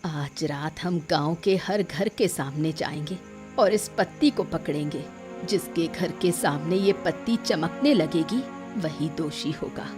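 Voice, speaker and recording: A woman voicing a determined old village mother in an animated moral story, firm and commanding. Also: deep voice, measured pace, soft background music. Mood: resolute.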